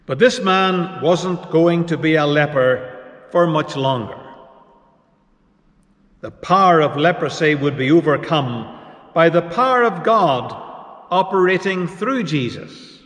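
A man preaching a sermon as pastor, measured and unhurried with pauses between phrases. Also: a little high, expressive, fairly bright tone. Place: church. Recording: echoey church hall reverb.

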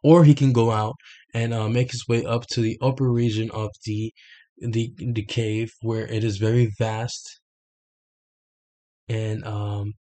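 A man's voice: on the low side, little pitch movement, measured pace, warm tone. A young man in his twenties in a small bedroom, explaining a picture over a screen share at his desk webcam, hesitant and full of fillers and restarts. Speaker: self-made explainer. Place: small bedroom with red lighting, indoors.

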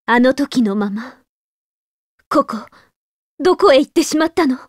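A woman's voice: high-pitched, expressive, measured pace, dry recording, clean studio sound. A woman playing a serious, determined young noblewoman fighter in an anime game, speaking with polite, commanding composure. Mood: worried.

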